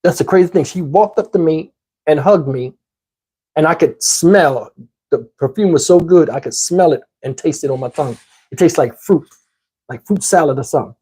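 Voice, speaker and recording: A man's voice: fairly high pitch, moderate pace, warm tone, breathy edge, rough recording. A man telling a personal story in a casual, comedic, slangy way, animatedly acting out the dialogue. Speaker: storyteller, channel host.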